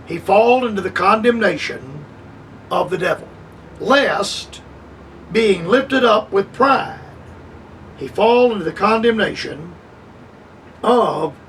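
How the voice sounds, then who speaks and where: High-voiced older man preaching on radio. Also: a bit bright, distant mic, slight background noise, low-quality recording. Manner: measured, repeats phrases and restarts with many uh. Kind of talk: radio Bible teaching.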